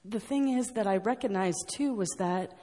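A woman's voice: medium pitch, moderate pace, dark tone, in a large hall. An American woman telling her personal story to an audience, earnest and rambling, with many fillers and restarts. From United States. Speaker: speaker sharing her story at a recovery meeting.

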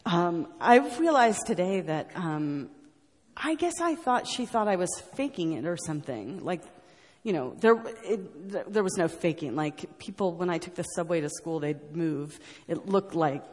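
A woman's voice: fairly low pitch, lively intonation, unhurried, a little mellow, slightly rough, in a large hall. An American woman telling her personal story to an audience, earnest and rambling, with many fillers and restarts. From United States. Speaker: speaker sharing her story at a recovery meeting.

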